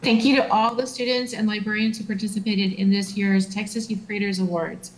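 A woman's voice: mid-range voice, steady tone, low-quality recording. A woman school librarian presenting student awards remotely at a school board meeting, reading names with some hesitation. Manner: reads names haltingly with fillers.

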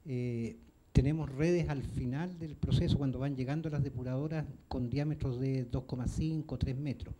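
A man from Chile in the audience making a measured comment and asking a question, pausing with fillers. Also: mid-range voice, steady tone, dark tone, a little hiss or hum. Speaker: audience member. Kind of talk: question from the floor.

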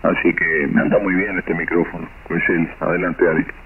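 Man, Rioplatense accent, deep, casual ham radio chat, muffled shortwave, loud static. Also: level tone, moderate pace, recorded from a distance. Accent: Rioplatense Spanish. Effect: shortwave radio transmission. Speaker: amateur radio operator. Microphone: dual-capsule radio desk or hand microphone.

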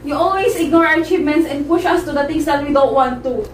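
A young woman plays a dutiful eldest sister who shields the younger ones, in a high voice. The amateur melodrama is picked up from a distance in an echoey living room. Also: fast talker, a little hiss or hum, lightly acted. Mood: firm. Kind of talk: amateur one-act melodrama acting. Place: living room, far camera mic. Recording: echoey room, distant mic, faint music.

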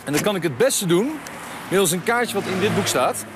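A man in his thirties hosting a travel show outdoors, talking to camera in a relaxed, enthusiastic and chatty way. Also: high voice, fairly quick, background noise. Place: outdoors on a mountain road and in a tent. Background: background music.

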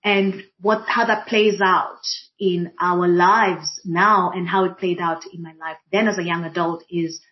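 A woman from Kenya, a diversity and inclusion practitioner speaking thoughtfully on a panel, pausing often with fillers. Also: fairly low pitch, steady tone. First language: Swahili. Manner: thoughtful, many fillers and restarts. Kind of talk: reflective panel conversation. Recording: dull lo-fi audio.

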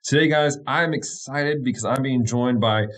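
A man in his thirties, a pastor hosting a casual chat show, upbeat and quick to laugh, close to a studio mic in a small wood-paneled room. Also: medium pitch, a bit bright. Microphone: studio mic on boom arm, close.

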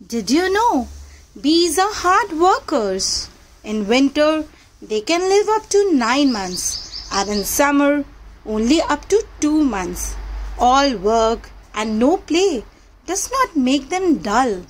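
A woman's voice: high-pitched, big pitch swings, slow, rough recording. A woman narrating a children's read-aloud book in a teacherly manner, addressing young listeners directly.

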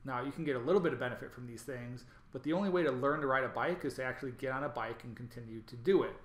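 A man's voice: mid-range voice, fairly bright tone. Guy explaining stuff over slides, lots of ums, echoey room. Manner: explanatory, frequent ums and self-corrections. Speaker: presenter of an online training. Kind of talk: recorded lecture over slides.

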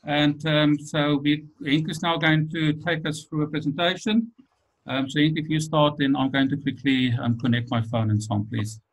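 A man's voice: a little high, steady tone, moderate pace, poor audio. Man hosting an online webinar. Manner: friendly, thankful, with fillers. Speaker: webinar host. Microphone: online call.

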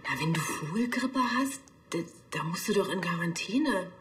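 An actress playing a concerned police station colleague with a soft, hesitant manner. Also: female voice, on the low side, expressive, normal speed, roomy sound, close to an everyday voice.

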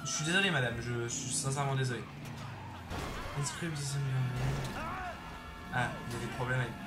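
A young man chatting casually over his gameplay on a headset mic, excitable and throwing in English exclamations. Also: mid-range voice, brisk. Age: young adult. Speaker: gaming YouTuber. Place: bedroom. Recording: roomy sound with game music behind.

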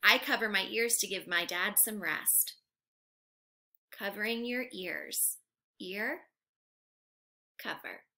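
A young woman reading aloud to small children like a teacher, asking simple questions in an animated, carefully enunciated way. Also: mid-range voice, slow, pauses often. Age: young adult. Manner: animated, exaggerated, enunciated for little learners. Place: living room at home, indoors.

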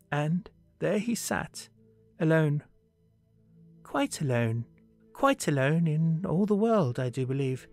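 Man acting a husky, genial Victorian husband. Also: fairly high pitch, exaggerated voice. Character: genial Victorian husband, chatty, fond, pitying.

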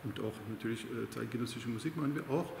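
A man's voice: mid-range voice, fast, gravelly, a little mellow, slight background noise. A middle-aged man from France speaking with a French accent, a choir director giving hesitant interview answers with many fillers. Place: large church with organ, indoors. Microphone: unseen mic, framed chest-up. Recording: echoey church with long reverb.

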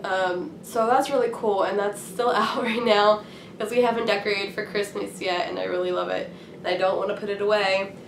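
A young woman in her twenties with a voice hoarse from a cold, chatting casually and rambling to camera with many ums. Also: medium pitch, little pitch movement, normal speed. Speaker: craft vlogger. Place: small room indoors at home. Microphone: camera at a distance. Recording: roomy, from a distance, faint hiss.

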